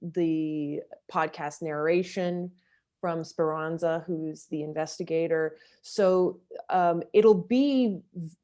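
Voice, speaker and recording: A woman talks as an interview guest, explaining how she works as a director. Her voice is fairly low, with a mellow and relaxed tone. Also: slow.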